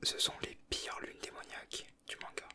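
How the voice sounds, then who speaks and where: A man whispering softly in an informal, slangy, rambling ASMR commentary with hesitations. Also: moderate pace. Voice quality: soft, unvoiced whisper. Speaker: ASMR video creator, host. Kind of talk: whispered ASMR, casual flip-through commentary.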